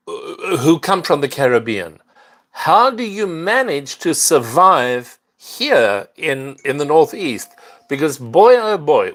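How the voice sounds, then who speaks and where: An older man from South Africa, hosting a casual livestream and chatting earnestly with viewers. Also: mid-range voice. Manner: unhurried, earnest, warm greetings to viewers. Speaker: livestream host.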